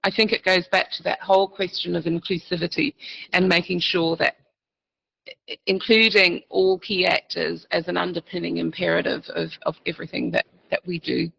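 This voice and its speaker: A man on a seminar panel speaking in formal policy language, halting often with ums and restarts. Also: sits high, little pitch movement, vocal fry, bright, forceful tone, slightly rough, low-quality recording. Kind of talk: panel discussion.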